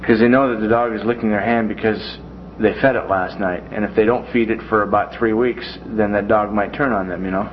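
A man's voice: fairly low pitch, steady tone, brisk, hardly any pauses. Man teaching a folksy Bible class. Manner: folksy, joking asides. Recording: dull lo-fi classroom recording with faint noise.

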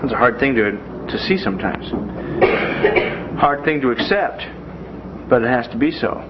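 A man's voice: medium pitch, lively intonation, normal speed. A man teaching a Bible class in a classroom in a folksy way, with joking asides. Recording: dull lo-fi classroom recording with faint noise.

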